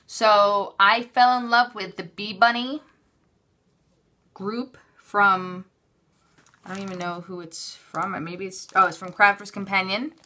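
A woman with a bright, forward voice at an average pitch. She talks casually, as in an unscripted show-and-tell. Her delivery is chatty and offhand, and she often breaks into her own sentences. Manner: chatty, self-interrupting, offhand. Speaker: craft haul vlogger. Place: indoors at a craft table.

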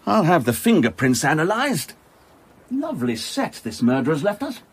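An actor playing a dry, sardonic male police inspector in a radio play, husky, wry and sceptical. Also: on the high side, very animated, normal speed, heavily acted. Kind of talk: dramatic radio play acting.

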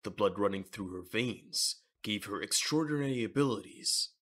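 A man with a husky voice reading scripted dramatic narration for a manga audio drama. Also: on the low side, lively intonation, moderate pace, fairly bright tone.

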